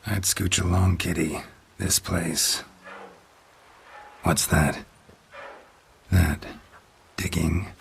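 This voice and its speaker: A man playing a stoic, gruff monster hunter in a video game, terse and deadpan with a low gravelly voice. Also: slight background noise, full character voice. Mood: muttering, investigating. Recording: game audio under background music.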